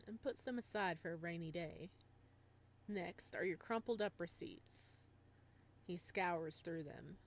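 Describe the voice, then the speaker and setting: A woman's voice: fairly low pitch, a little hiss or hum. A woman playing a game on her channel, reading its story aloud as dramatic narration mixed with casual commentary.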